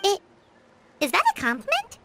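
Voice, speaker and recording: A woman voicing a small, cheeky, childlike fairy companion in a video game, cute and excitable with a squeaky voice. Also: normal speed, over music, heavily acted. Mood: pleased. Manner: cute, speaks with childlike exaggeration. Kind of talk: video game character acting.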